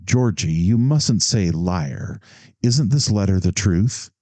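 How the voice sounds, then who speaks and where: A man voicing a gentle, indulgent mother. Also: lowish voice, lively intonation, a little slow, no room sound. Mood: gently reproving. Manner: gentle, mildly reproving.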